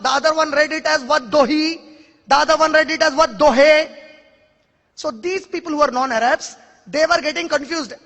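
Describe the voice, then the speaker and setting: A very high-pitched man with a bright, forceful tone, whose first language is Urdu, makes an emphatic argument before an audience. He pushes his points with pointed rhetorical questions and challenges. His voice rings with long reverb from a large hall. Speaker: speaker answering an audience question. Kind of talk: public question-and-answer lecture.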